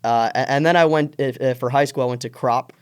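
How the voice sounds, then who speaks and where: Smooth-voiced young American founder. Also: male voice, mid-range voice, brisk. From born in Israel, raised in Miami area. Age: 20s. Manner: eager, filler-heavy, lots of "like" and restarts. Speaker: startup founder guest. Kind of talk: casual interview. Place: podcast studio.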